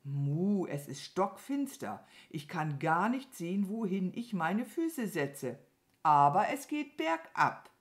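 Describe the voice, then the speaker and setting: Woman voicing a gentle, slow-talking storybook cow. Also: on the low side, big pitch swings, pitched above the performer's own voice. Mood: calm. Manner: mild, mooing, sighing. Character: gentle, affectionate, dreamy female cow. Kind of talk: children's storybook character voice.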